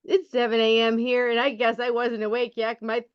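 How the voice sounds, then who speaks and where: A woman's voice: on the high side, little pitch movement, moderate pace, clean tone, no room sound. A woman podcast host reads a guest introduction and then asks questions, with the occasional small slip. Her voice is recorded dry, with no room sound, and the audio is slightly dull. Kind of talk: read introduction and casual interview.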